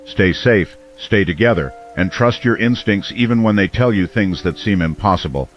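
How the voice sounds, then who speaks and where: An actor playing a solemn, earnest late-night small-town radio host in a scripted radio drama. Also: male voice, fairly low pitch, moderate pace, natural voice. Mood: grave, concerned. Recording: dull band-limited radio sound, music behind.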